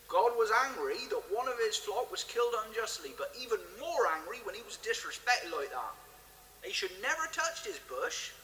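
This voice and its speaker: An actor playing an indignant, Bible-quoting church caretaker with broad speech, preachy and blunt. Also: male voice, high-pitched, sharp tone, full character voice. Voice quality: broad non-standard rustic speech. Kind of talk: audio drama acting. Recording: echoey hall, long reverb, faint noise.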